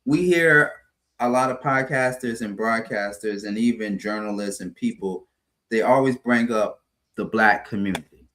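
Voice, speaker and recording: A man's voice: fairly low pitch, normal speed, a bit bright, poor audio. A man who hosts a morning talk show and asks the group reflective questions in a casual tone. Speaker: broadcaster and host.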